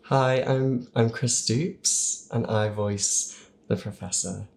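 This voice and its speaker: A man speaking casually as a guest in a living room, thoughtful and halting. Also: mid-range voice, steady tone, vocal fry, a little mellow. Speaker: voice actor guest. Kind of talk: casual chat.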